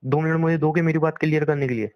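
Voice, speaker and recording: A man's voice: on the high side, fairly even intonation, fast, no room sound, muffled recording. A young man arguing in a private phone conversation, insistent and pleading, often repeating himself. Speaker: boyfriend on a phone call.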